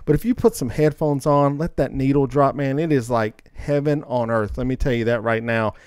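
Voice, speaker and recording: A man's voice: medium pitch, steady tone, normal speed, a little mellow, smooth, dry recording. Opinionated male podcast host, close dry sound. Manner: opinionated, colloquial, teasing. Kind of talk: casual podcast banter and debate.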